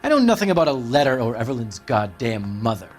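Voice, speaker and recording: A man's voice: mid-range voice, very animated, fast talker, soft background music. An American man voicing a smug, evasive, cynical writer under police questioning in a crime game, with a sardonic, articulate manner. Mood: defensive, scornful. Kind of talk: video game voice acting, 1940s crime drama.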